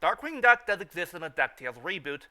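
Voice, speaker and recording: Very bright-voiced man, halting and informal. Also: high-pitched, very animated. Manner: halting, repeats and restarts phrases. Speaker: review show host. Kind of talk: informal fan commentary and recap.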